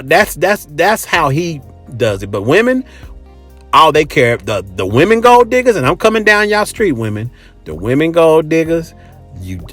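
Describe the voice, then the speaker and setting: A man's voice: mid-range voice, pushed and bright. An American man hosting a casual, opinionated monologue, speaking bluntly and emphatically with swearing and repeated phrases. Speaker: host. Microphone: close microphone.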